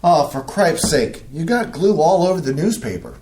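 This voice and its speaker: A man's voice: on the high side, big pitch swings, normal speed, a little mellow, slight background noise. An actor in a community staged reading playing a fussy, orderly Midwestern husband and father who grumbles as he explains.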